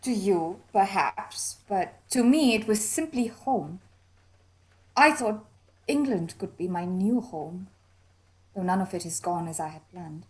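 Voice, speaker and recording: A woman's voice: medium pitch, expressive, a little slow, natural voice. A woman playing a wistful, reserved young Indian woman far from home in a period radio mystery, polite and guarded.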